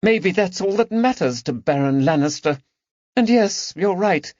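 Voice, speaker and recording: A man's voice: expressive, unhurried, darkish tone, no room sound. A man putting on a dramatic, distressed aristocratic lady in her forties. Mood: bitter, distressed. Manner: high, tremulous and theatrical. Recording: slightly dull dry recording.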